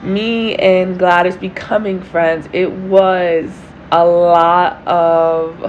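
A woman with a raspy voice co-hosting a podcast, talking in a loose, casual way about faith and friendship. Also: lowish voice, fairly even intonation, muffled recording, a little hiss or hum. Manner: unhurried, loose, lots of "like" and "you know". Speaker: podcast co-host. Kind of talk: casual faith testimony chat.

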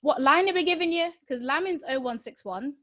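A woman actor co-hosting a podcast, telling stories in a chatty, animated way. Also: high-pitched, fairly quick. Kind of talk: casual chat.

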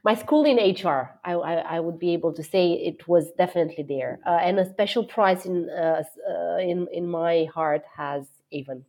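A woman's voice: lowish voice, normal speed, hardly any pauses, dark tone. A middle-aged woman from Romania, a guest executive answering interview questions with enthusiasm, many fillers and restarts.

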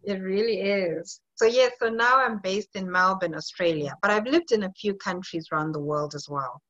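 A woman's voice: mid-range voice, moderate pace, fairly bright tone, muffled recording. A woman in her late thirties from Zimbabwe, a fitness coach answering interview questions in a friendly, thoughtful way with some hesitation. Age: 30s.

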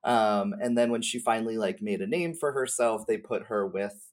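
A man's voice: lowish voice, normal speed. A man talking as a podcast guest in a casual, slangy, rambling conversational style. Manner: informal, slangy, hedging and rambling. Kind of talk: casual conversational chat about game lore.

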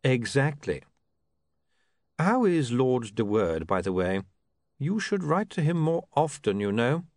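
A man's voice: medium pitch, sing-song, measured pace, pauses often, a little mellow, no room sound. A man voicing an urbane older city ruler in an audiobook, dry, formal and politely menacing. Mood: veiled menace. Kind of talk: audiobook character voice.

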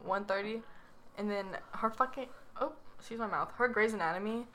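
A woman's voice: medium pitch, a little fast, sharp tone, rough recording. A tired teenage girl co-hosting a podcast, talking in laid-back, slangy casual chat. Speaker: podcast co-host.

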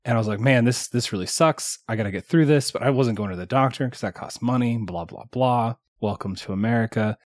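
A man's voice: medium pitch, brisk, hardly any pauses, a little mellow, no echo, clean studio sound. A man co-hosting a casual podcast, recovering from a chest cold, rambling through a story and chuckling often. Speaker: podcast co-host. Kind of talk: casual chat.

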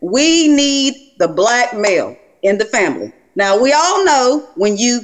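A woman's voice: on the high side, very animated, measured pace. A woman, a school principal, speaking passionately on a podcast and punctuating her points with "okay?". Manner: emphatic, keeps asking "okay?". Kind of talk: impassioned podcast talk.

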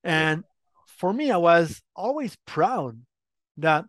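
A middle-aged French Canadian man, a native French speaker, answering interview questions in a candid, animated way. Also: a little high, takes it slowly, no room sound, studio quality. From Quebec, Canada. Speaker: guest, brand marketing head.

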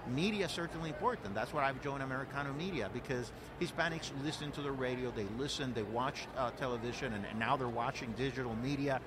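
A man's voice: mid-range voice, fast talker, hardly any pauses, background noise. Earnest man from Puerto Rico outdoors. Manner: earnest, many fillers and repeated words. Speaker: guest, political director. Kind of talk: conversational interview. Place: outdoor event.

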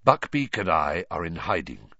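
A man voicing a fugitive wizard godfather reading out his letter in a measured, earnest way. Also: low voice, very animated, vocal fry, pushed and bright, no echo, muffled recording, pitched below the performer's own voice, exaggerated voice.

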